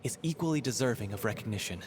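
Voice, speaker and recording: A man's voice: medium pitch, lively intonation, quick pace, a crowd in the background, natural voice. A man voicing a genial, diplomatic theatre troupe director and announcer in a video game, formal and courteous. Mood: placating.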